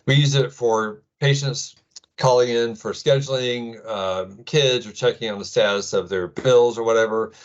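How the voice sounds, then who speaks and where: A middle-aged man with an American accent giving an informal tech presentation in a casual, halting way full of ums. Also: mid-range voice, fairly even intonation, lo-fi sound. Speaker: presenter, IT administrator.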